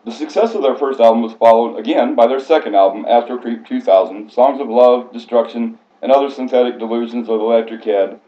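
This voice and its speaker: A man's voice: medium pitch, brisk, few pauses, warm tone. A man reading a prepared biographical speech aloud in a formal, scripted way, stumbling now and then. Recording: echoey room, slightly muffled sound.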